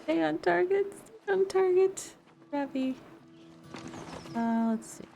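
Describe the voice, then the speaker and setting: A woman's voice: very high voice, lively intonation, slow pace, creaky, dark tone. A woman live streaming a game, chatting casually and thinking aloud as she plays. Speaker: live streamer, gaming content creator. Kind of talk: casual livestream gameplay chat. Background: music playing faintly behind the voice.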